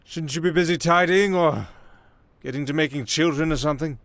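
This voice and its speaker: A man's voice: fairly high pitch, brisk, fairly bright tone, no room sound, pitched above the performer's own voice. A man voicing a pompous, sneering, drunken young aristocrat fallen from grace, haughty and theatrical, in a roleplay audio drama.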